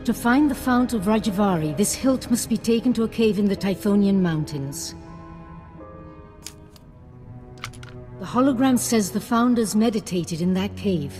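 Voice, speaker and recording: A woman's voice: mid-range voice, lively intonation, moderate pace, hardly any pauses, darkish tone, faint music behind, lightly acted. A woman playing a scholarly, single-minded Jedi master in a video game, earnest and instructive. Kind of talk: video game dialogue acting.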